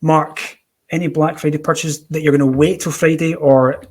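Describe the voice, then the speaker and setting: A man's voice: a little high, flat delivery, normal speed. A man hosting a casual podcast chat, bantering as he puts listener questions to his guests. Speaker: podcast host.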